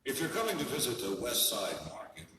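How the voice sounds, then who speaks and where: A man playing a friendly pitchman in a scripted comic radio promo. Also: big pitch swings, brisk, lightly acted. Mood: promotional. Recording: noisy echoey hall, distant mic.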